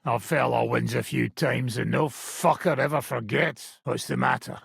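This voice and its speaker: A man voicing a gruff, sardonic middle-aged mercenary bandit in a video game, with a raspy, gravelly voice and a blunt, coarse, mocking manner. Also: mid-range voice, normal speed, fairly bright tone, no echo, clean studio sound, heavily acted. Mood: sardonic, scheming.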